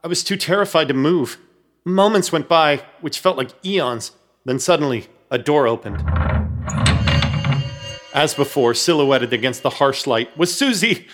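A man's voice: fairly high pitch, measured pace, a bit bright. A man narrating and starring in a horror comedy radio play, with theatrical, urgent storytelling. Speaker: narrator and protagonist.

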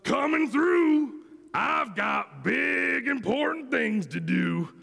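A man voicing a big self-important dump truck, brash, hurried and blustering, for a children's storybook. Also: high-pitched, vocal fry, sharp tone, exaggerated voice. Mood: brash, impatient. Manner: pompous, blustering. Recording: echoey hall, long reverb.

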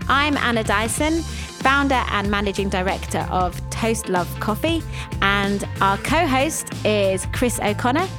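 A woman, hosting a conversational podcast and telling her story in a reflective way with many pauses. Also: medium pitch, animated, music in the background. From England. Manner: reflective, with frequent ums and pauses. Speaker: podcast host and cafe founder.

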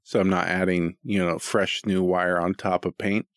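A man's voice: sits low, flat delivery, normal speed, no room sound. A middle-aged American man chatting casually as a podcast co-host, rambling and self-deprecating, with some easy swearing. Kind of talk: casual chat.

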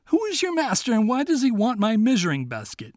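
Man putting on a flustered pompous old king, squeaky high voice, wildly swooping, dry. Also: huge pitch swings, a little fast, dry recording, full character voice. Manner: blustering, pompous. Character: fussy, flustered old king, eager and vain.

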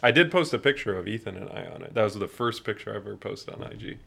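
A man in his thirties chatting on a podcast in a lowish, gravelly voice. He rambles in an easygoing way and chuckles often. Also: lively intonation, quick pace, pushed and bright. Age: 30s. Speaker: podcast co-host. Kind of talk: casual chat.

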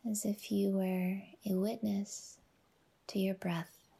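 A woman guiding a relaxation meditation in a soft, whispery, soothing voice, unhurried and leaving long pauses between phrases. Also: mid-range voice, little pitch movement, gravelly, husky. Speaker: yoga nidra guide and podcast host.

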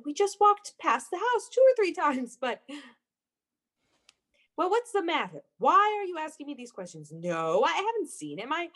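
A woman's voice: high voice, heavily acted. An actress playing an obsessive, unhinged young woman in a melodramatic radio play, with a theatrical, breathless, intense manner. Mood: nervous, alarmed. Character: obsessive, unhinged young woman convinced of her dark power. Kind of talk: melodramatic old-time radio play acting.

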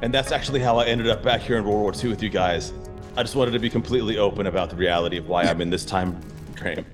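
A man's voice: on the low side, quick pace, over music, lightly acted. A man playing an earnest wartime hero and time traveler in an improvised audio drama scene. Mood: earnest confession.

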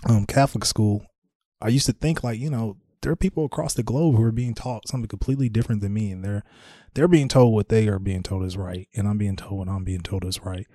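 A man's voice: lowish voice, steady tone, fast, mellow, no echo, studio quality. A man with an American accent, chatting casually as a podcast co-host and tentatively working toward his point. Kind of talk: casual conversation.

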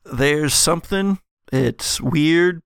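Man playing shaken gothic-game man, confused, halting stammer, gravelly, dry close sound. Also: on the high side, no echo, heavily acted. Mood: dazed, freaked out.

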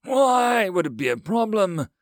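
A man's voice: high voice, very animated, measured pace, no echo, studio quality, pitched above the performer's own voice, exaggerated voice. A man voicing an indignant male insectoid alien chancellor in a story, emphatic and demanding. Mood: furious.

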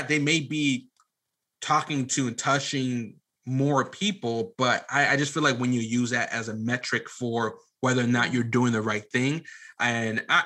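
A man with a bright, forceful voice at a middle pitch talks as a podcast guest. He is conversational and opinionated, leaning on repeated words for emphasis. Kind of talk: casual conversational interview.

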